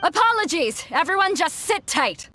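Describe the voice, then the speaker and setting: Bright, animated tournament announcer. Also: female voice, very high voice, moderate pace, exaggerated voice. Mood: showy announcing. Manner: showy, dramatic announcer delivery. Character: lively woman announcing a tournament, brisk and exasperated off stage. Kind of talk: video game voice acting, theatrical announcing.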